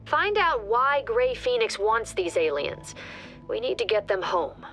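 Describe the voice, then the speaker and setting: A calm squad commander. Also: female voice, fairly high pitch, a little slow, loud music behind the voice. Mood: briefing. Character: composed female squad commander giving orders. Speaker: mission briefing officer. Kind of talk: video game voice acting.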